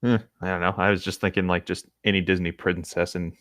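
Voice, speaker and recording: A man's voice: sits low, level tone, quick pace, a bit bright, no echo, clean studio sound. A man reading fan fiction aloud as a co-host, slipping into casual banter between passages.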